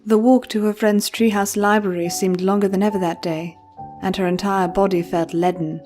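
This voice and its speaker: A woman's voice: medium pitch, steady tone, normal speed, a little mellow. A woman reading a scripted story as an audiobook narrator, in a dramatic storytelling style. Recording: faint music behind narration.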